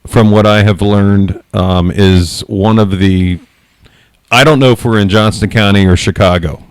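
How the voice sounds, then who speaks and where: A man with a loud voice hosting a casual podcast, speaking frankly with many self-corrections. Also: on the low side, steady tone, measured pace, smooth, no echo. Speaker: podcast host.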